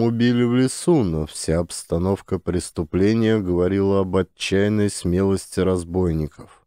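Low dark-voiced male storyteller. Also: no room sound, clean studio sound. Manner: measured, expressive storytelling. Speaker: audiobook narrator. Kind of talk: scripted classic story narration.

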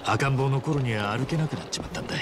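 An actor playing a friendly, rough-spoken, big-hearted man in a video game cutscene, with casual, chummy street slang. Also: medium pitch, fast talker, very noisy, lightly acted. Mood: subdued.

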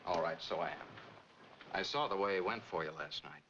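A man's voice: sits low, brisk, close to an everyday voice. An American actor in his thirties playing a jealous, blunt artist in 1940s film style. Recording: noisy, dull 1940s film soundtrack.

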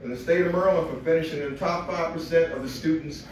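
A man's voice: a little high, quick pace. A man giving a heartfelt acceptance speech about his son on a handheld mic at an awards event. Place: large hall at an awards event. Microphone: handheld mic, filmed by a phone from the audience. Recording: echoey hall with loud noise, far from the phone.

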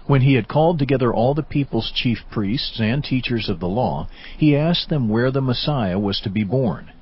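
A man narrating a dramatized Bible in a measured, formal scripted style. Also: mid-range voice, animated, slightly rough. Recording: slightly dull audio over music.

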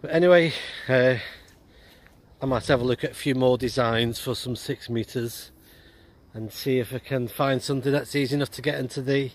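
A man in his forties talking casually and haltingly in an outdoor vlog. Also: medium pitch, steady tone. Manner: halting, hesitant delivery. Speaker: amateur radio vlogger. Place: outdoors on an open hillside. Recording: noisy outdoor ambience.

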